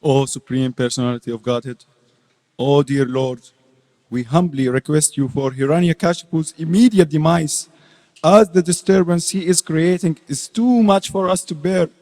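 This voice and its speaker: A man's voice: on the high side, moderate pace, dark tone, close to an everyday voice. A man playing a fearful demigod who humbly implores his lord in a devotional dramatic reading. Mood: anxious pleading. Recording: hall with long reverb.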